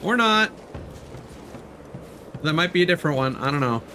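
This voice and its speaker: A man's voice: fairly high pitch, expressive, normal speed, sharp tone, slight background noise. A man who streams games, thinking aloud in casual, conversational live commentary while he plays. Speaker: game streamer.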